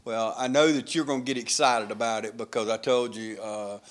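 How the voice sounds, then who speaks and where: A man's voice: mid-range voice, steady tone, fairly quick. Male local TV host, folksy and chatty, easygoing questions. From Dublin, US. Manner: folksy, rambling, affirming. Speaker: TV interviewer. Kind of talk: local TV interview.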